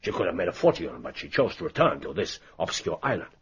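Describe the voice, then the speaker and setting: An actor playing a courteous, commanding spy chief in a radio drama, with formal, deliberate phrasing. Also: male voice, low voice, very animated, creaky, a bit bright, breathy edge, full character voice. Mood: grave, explanatory. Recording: dull, noisy radio drama recording.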